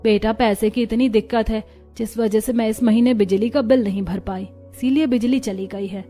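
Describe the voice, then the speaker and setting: Woman acting a poor caring mother, reassuring, higher-pitched, cartoon moral tale, faint music. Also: steady tone, moderate pace, dark tone, natural voice. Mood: apologetic. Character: poor, ailing, tender mother of a college girl.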